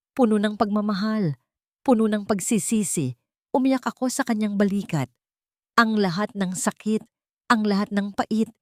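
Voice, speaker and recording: A woman's voice: mid-range voice, no echo, clean studio sound. A woman with a Filipino accent narrating a scripted, dramatic romance story.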